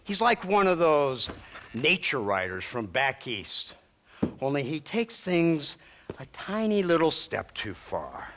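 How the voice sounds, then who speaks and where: A man's voice: on the high side, fairly bright tone, exaggerated voice. A man acting as a smug, sardonic, ruthless American government agent in a western video game, speechifying mockingly with theatrical flair. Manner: mocking, rhetorical speechifying with chuckles.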